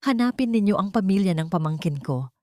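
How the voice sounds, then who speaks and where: A woman's voice: mid-range voice, expressive, a little fast, a little mellow, no room sound, studio quality, lightly acted. A woman voicing a cold, mocking, scheming uncle, the villain of a dramatic story, with menacing murmurs. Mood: cold, commanding.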